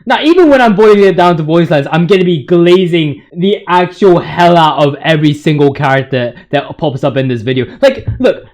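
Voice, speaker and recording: A young man with a high-pitched voice talks through video games casually. His delivery is hyped and full of slang, rambling along like chat among friends. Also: fairly quick, hardly any pauses, darkish tone. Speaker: video host. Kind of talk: casual video game commentary.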